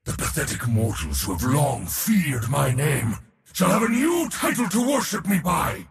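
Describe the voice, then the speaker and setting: A boastful, arrogant god of war in theatrical video game style. The delivery is slow and grandiose, swooping dramatically from low growls to high battle cries, with pompous declamation throughout. It rings out in a long reverberant hall. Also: male voice, big pitch swings, gravelly, heavily acted. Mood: grave, resolute.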